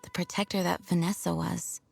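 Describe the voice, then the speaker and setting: A woman playing a dutiful young female knight commander in a video game, measured, formal and sincere. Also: lowish voice, level tone, creaky. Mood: sincere, reflective. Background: game music.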